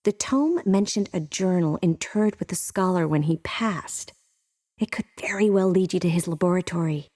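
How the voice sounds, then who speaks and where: A woman voicing a witty, caring, self-assured young sorceress in a video game, often dropping to soft, intimate murmurs. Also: on the low side, normal speed, dry recording, studio quality, lightly acted. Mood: warm, reflective. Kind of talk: scripted game character dialogue. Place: close studio recording.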